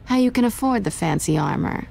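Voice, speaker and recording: A woman playing a sly, flirtatious, self-assured thief in a video game, with a teasing, sultry, deliberate delivery. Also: medium pitch, expressive, vocal fry, darkish tone, slight background noise.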